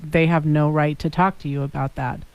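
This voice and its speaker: A woman's voice: deep voice, steady tone, brisk, clear, resonant tone, no room sound, lo-fi sound. A woman co-hosting a real estate radio talk show, putting follow-up questions to a guest.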